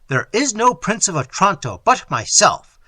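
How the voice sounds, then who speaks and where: A male reader voicing an indignant nobleman who rebukes others loudly and scornfully. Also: high voice, big pitch swings, a little slow, no room sound, voice pushed up, full character voice. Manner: loud, rebuking.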